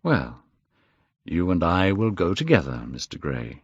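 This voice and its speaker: A man doing a low, drawling cynical aristocrat. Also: wildly swooping pitch, unhurried, breathy edge, dry recording, exaggerated voice. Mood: mocking. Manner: dry and drawling. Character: languid, cynical male aristocrat. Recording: slightly muffled dry recording.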